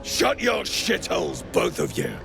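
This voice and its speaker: A gruff dwarf mercenary for a game. His voice is thick with gravel and he bites out blunt, aggressive threats with a lively swing in pitch. Music runs behind him. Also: a little slow, heavily acted. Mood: angry, threatening. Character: foul-mouthed, greedy, gruff male dwarf mercenary. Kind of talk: video game character acting.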